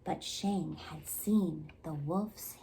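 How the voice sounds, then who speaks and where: A woman reading a children's story aloud expressively, with measured dramatic pauses. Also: deep voice, vocal fry, background noise. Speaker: storybook reader at a community library.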